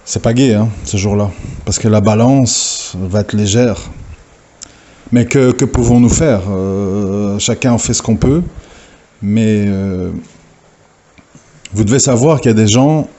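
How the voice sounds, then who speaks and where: A man's voice: on the low side, slow pace, pauses often, warm tone. A man giving an informal religious talk, hesitant and full of fillers and restarts as he lectures. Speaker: religious preacher. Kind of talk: informal recorded audio talk.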